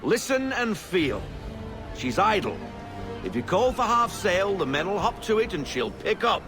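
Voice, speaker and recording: A man's voice: high voice, sing-song, a little slow, over music, heavily acted. An actor playing a hearty older sea captain in a video game, rousing and salty as he mentors a young sailor. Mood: instructive. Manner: rousing, salty nautical talk.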